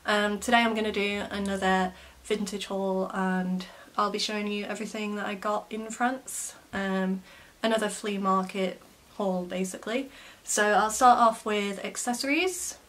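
A woman in her twenties sounding stuffed up and croaky from a cold, chatting casually through a vintage clothing haul with lots of ums and likes. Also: medium pitch. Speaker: vintage fashion vlogger. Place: bedroom lined with clothing racks, indoors.

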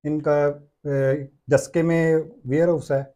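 Mellow, fairly high-voiced Pakistani man. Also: little pitch movement, slow pace. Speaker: small business owner, interview guest. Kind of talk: interview answers. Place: small brick-walled workshop room indoors.

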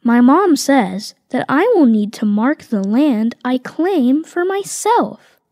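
A woman reads a picture book aloud to young children, slowly, with a lively, sing-song lilt. Her voice sits a little high and the recording is close and dry. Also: dry recording. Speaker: reader voicing tiger cubs.

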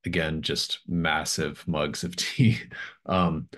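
A man with a deep voice narrates a tabletop game as its game master. He is easygoing and casual, feeling his way through scenes with hesitations. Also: level tone, slow pace, a bit bright.

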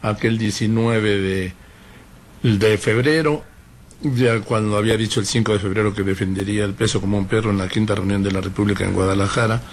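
A male radio host, talking in a measured way and listing dates and past events. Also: lowish voice, steady tone, slight background noise. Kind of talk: radio interview conversation.

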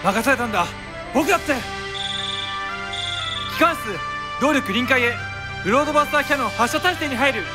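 A man's voice: very high-pitched, expressive, brisk, pauses often. A man voicing an earnest young warship captain. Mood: determined. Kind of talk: voice drama acting. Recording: loud music underneath.

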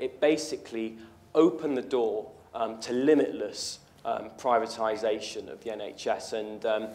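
A man's voice: fairly low pitch, darkish tone. A young man, a political candidate, answering audience questions in a university lecture hall in an earnest way, with many ums and restarts. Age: young adult. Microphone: room mic at a lectern, far camera.

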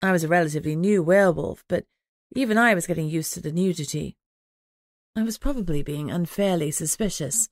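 Female audiobook narrator, lower register, first-person fiction, dry close sound. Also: a little fast, no room sound.

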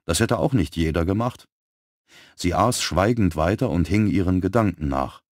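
Deep-voiced guy reading a thriller audiobook, calm and even, no room echo at all. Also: normal speed, clean studio sound. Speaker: audiobook narrator. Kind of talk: scripted narration.

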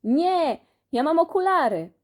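A proud, bossy schoolboy from a children's storybook, played by a woman. His voice is high and insistent, swinging about with self-important emphasis. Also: very animated, a little slow. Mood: indignant, shouting. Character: bossy, proud schoolboy, teacher's pet in glasses.

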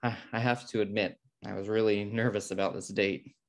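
A man's voice: fairly low pitch, fairly even intonation, a little fast, natural voice. A man playing a fussy, tidy, exasperated brother in a comedy table read. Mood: nervous. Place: indoor room on a video call. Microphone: video call.

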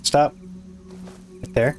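A hoarse young man with a raspy voice, casually commenting on his game as he builds, muttering to himself and swearing when things go wrong. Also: extremely slow, soft background music. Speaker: gaming YouTuber. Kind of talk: casual let's-play commentary.

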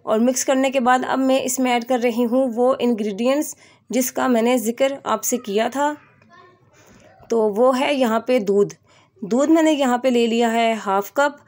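A woman's voice: fairly high pitch, a little mellow. Chatty woman narrating a recipe. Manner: chatty, addresses viewers as friends. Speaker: cooking channel host.

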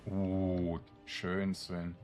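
Slow, low-voiced man commenting on a game. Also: completely flat delivery, darkish tone, faint music behind. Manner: halting, thinking aloud in short phrases. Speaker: let's play streamer. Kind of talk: casual gameplay commentary, reading item text aloud.

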